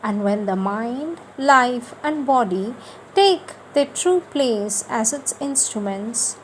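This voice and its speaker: Slow, deliberate woman reading devotional text. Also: fairly high pitch, expressive, a little hiss or hum, poor audio. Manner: slow, deliberate, measured phrasing with pauses. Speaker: reader of spiritual texts.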